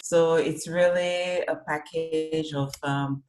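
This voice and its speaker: Low-voiced woman, unhurried and searching. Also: fairly even intonation, darkish tone, slight background noise. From Cameroon. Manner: speaks slowly and searchingly, with fillers and rephrasing. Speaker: guest, comics artist and festival organizer. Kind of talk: remote interview, thoughtful casual answers.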